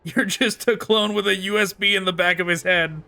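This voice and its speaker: Bright, high-voiced man chatting over gameplay. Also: fairly even intonation, normal speed, vocal fry. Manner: rambling, musing. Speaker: video game streamer. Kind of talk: casual gameplay commentary.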